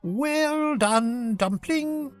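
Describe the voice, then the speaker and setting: Woman voicing a high-pitched, gracious storybook deer. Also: lively intonation, drawn-out words, soft background music, heavily acted. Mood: delighted welcome. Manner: gracious, exclaiming, polite. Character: kindly silver-haired deer creature, gracious and welcoming.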